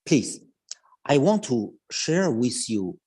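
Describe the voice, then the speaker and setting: Man, 50s, Chinese accent, native Chinese speaker, cello teacher, live online chat, unhurried delivery, husky. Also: medium pitch, very animated, darkish tone. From China. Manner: halting, with many restarts and fillers.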